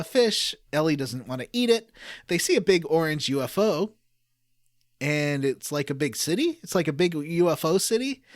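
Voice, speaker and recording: Animated podcast host with a dry, close sound. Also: medium pitch, no echo. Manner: puts on voices for quoted lines, laughs through readings. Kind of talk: casual, jokey recap of a video game story, reading out dialogue.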